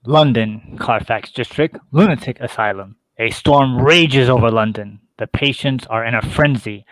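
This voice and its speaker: A man's voice: mid-range voice, normal speed, dry recording, low-quality recording. A man narrating a horror play, reading stage directions in a terse, theatrical way.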